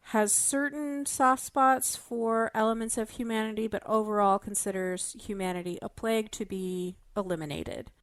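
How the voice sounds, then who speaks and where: Woman podcast panelist, average pitch, dry sound, thoughtful and analytical, conversational delivery. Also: dry recording. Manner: thoughtful, hedging, building theories step by step. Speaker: podcast panelist discussing a fiction show. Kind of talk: casual analytical discussion.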